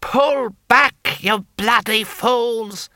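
A man's voice: very high-pitched, animated, a little slow, sharp tone, no echo, clean studio sound, pitched above the performer's own voice, exaggerated voice. A man voicing a furious, haughty warlord in an audiobook, screaming imperious orders.

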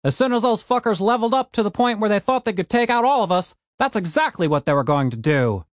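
A man's voice: high-pitched, lively intonation, fast, dry recording, studio quality, pitched above the performer's own voice. A man voicing a crippled male gnome innkeeper who talks bluntly and swears a lot. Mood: angry.

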